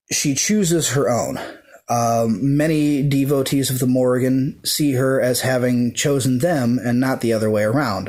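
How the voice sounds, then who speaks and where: A man speaking off the cuff about his personal faith, hesitant and soft-spoken, with lots of ums and uhs and restarts. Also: mid-range voice. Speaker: pagan devotee sharing his views. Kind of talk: unscripted talk to camera.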